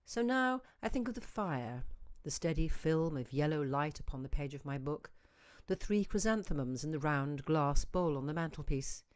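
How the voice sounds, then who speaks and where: A woman reading a short story aloud as an expressive, musing narrator with dramatic emphasis. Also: low voice, moderate pace, hardly any pauses, no echo, studio quality. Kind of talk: expressive literary audiobook reading.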